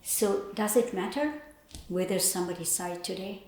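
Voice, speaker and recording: An older woman from Bangladesh with a Bangladeshi accent, reflecting on her life as a painter in a thoughtful, halting way with pauses. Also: medium pitch, lively intonation, darkish tone, a little hiss or hum. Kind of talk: reflective personal interview. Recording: roomy, echoey indoor sound.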